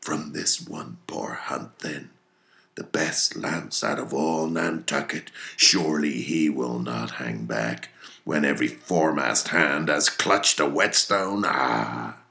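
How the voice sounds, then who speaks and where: Old whaling captain, very deep, forceful, slow, domineering, brooding, archaic audiobook drama. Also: male voice, full character voice. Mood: coaxing. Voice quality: gravelly. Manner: grandiose, archaic, commanding. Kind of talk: dramatic audiobook character reading.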